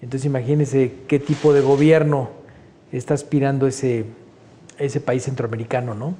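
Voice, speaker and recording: Mexican-accented guy in his 60s, super dark mellow voice, chatty and opinionated. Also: medium pitch, steady tone, normal speed, slight background noise. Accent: Mexican Spanish. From Honduras. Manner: conversational, opinionated, many fillers like "este". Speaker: guest painter. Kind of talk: interview answers.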